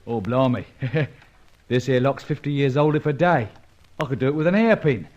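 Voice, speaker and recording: An actor playing a humble, wily reformed safecracker in an old-time radio drama, colloquial and muttering. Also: male voice, mid-range voice, moderate pace, darkish tone, lightly acted. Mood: sly, amused. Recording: dull, faintly noisy old radio recording.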